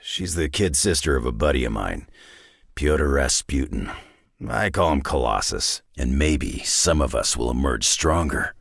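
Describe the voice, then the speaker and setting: A man voicing a gruff, weary middle-aged superhero brawler for a video game, with a deep raspy growl and terse, dry, folksy tough-guy talk. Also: animated, measured pace, no echo, exaggerated voice. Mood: wry. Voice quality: deep gravelly rasp.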